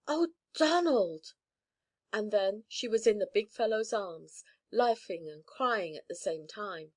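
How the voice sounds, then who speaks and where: Audiobook narrator, woman, gentle and soothing, mid-range pitch. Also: measured pace. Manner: calm, gentle, soothing reading. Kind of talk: scripted story narration.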